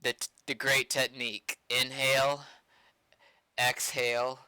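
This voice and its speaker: A man's voice: mid-range voice, level tone, a little slow, bright, forceful tone, clean tone, no echo, rough recording. A man giving casual, blunt livestream commentary with swearing while he works at a computer as a game server developer. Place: indoors at a computer.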